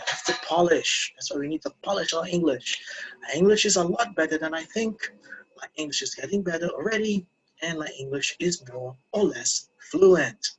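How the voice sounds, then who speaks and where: A man's voice: high voice, a little hiss or hum, rough recording. A man teaching an English lesson to camera in a casual, encouraging way, repeating phrases and asking learners questions.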